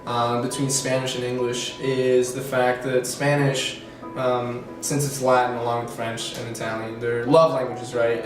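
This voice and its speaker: A young man from Colombia talks through interview answers, rambling a little and correcting himself as he goes. He is heard from a distance in a very echoey room, with music playing clearly behind him. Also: mid-range voice. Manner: rambling, self-correcting, many fillers. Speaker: student interviewee.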